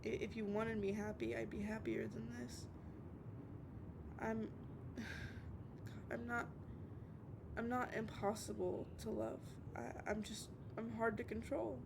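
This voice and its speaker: A woman's voice: little pitch movement, creaky. A woman playing an obsessive, heartbroken young woman on a late-night call, stammering and repeating herself in a dramatic audio roleplay monologue. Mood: weary, firm. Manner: halting, stammering, repeating words. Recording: noisy with low rumble.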